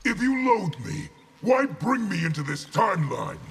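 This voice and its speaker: An actor playing a proud, bitter warlord general in a video game, menacing and deliberate, with a gravelly voice. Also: male voice, high voice, very animated, a bit bright, exaggerated voice. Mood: bitter, angry. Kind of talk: video game fight dialogue.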